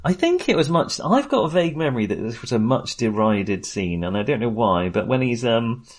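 A man's voice: mid-range voice, big pitch swings, fairly quick. A male podcast co-host talks casually about film in a mid-range voice. He meanders and hesitates as he goes, and tends to chuckle along the way.

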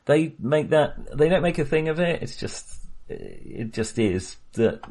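A man's voice: a little high, big pitch swings, unhurried, darkish tone. A man co-hosting a podcast, chatting about a film in a rambling way, often restarting and laughing as he talks. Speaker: podcast co-host. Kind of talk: casual film discussion.

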